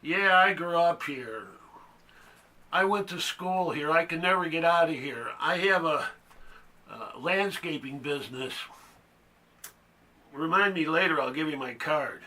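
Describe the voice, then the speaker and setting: Man acting a loud, pushy small-town barfly. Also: a little high, lively intonation, a little slow, pauses often, distant mic, pitched above the performer's own voice. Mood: resigned, oversharing. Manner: insistent, folksy, rambling. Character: chatty local small-town barfly, nostalgic, pushy, oversharing. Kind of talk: theatrical monologue dialogue. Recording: noisy background.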